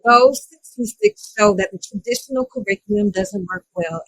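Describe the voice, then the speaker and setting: A woman's voice: fairly high pitch, a bit bright, husky, rough recording. An actress playing a passionate, firm teacher in an audio drama, making her case persuasively. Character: passionate, firm female teacher championing marginalized students.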